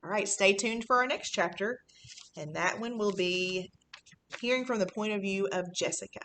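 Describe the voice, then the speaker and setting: Woman teacher introducing a read-aloud, faint rumble. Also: moderate pace, a bit bright. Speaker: fifth-grade teacher.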